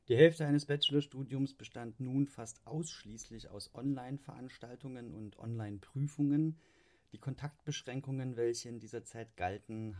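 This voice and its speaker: Mellow man reading a podcast intro. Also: medium pitch. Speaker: podcast narrator. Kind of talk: scripted narration.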